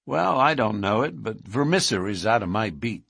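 A man's voice: lowish voice, expressive, moderate pace, creaky, a bit bright, slightly rough, no echo, clean studio sound, heavily acted. A man voicing a friendly, chatty local working man. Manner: easygoing, advising.

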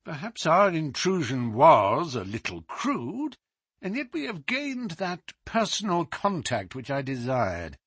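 A man playing a composed, amiable gentleman detective, polite and formal, in a storybook reading. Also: fairly high pitch, wildly swooping pitch, unhurried, slightly rough, no room sound, studio quality, pitched above the performer's own voice, heavily acted. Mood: amused, musing. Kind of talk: dramatised audiobook reading.

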